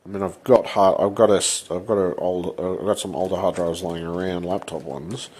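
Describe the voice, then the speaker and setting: A man with blocked sinuses, talking off camera at a home workbench in a rambling, halting way that breaks into swearing when he is frustrated. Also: deep voice, steady tone, darkish tone, breathy edge. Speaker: channel host. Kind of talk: casual vlog.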